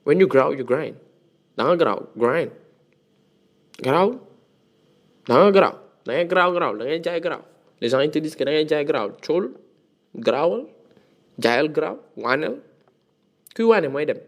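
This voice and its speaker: A man's voice: fairly high pitch, lively intonation, slow pace, pauses often. A man, hosting a casual solo podcast monologue, hopping between French and English with frequent restarts. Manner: switches between French and English mid-sentence; frequent restarts and repeated words. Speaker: podcast host.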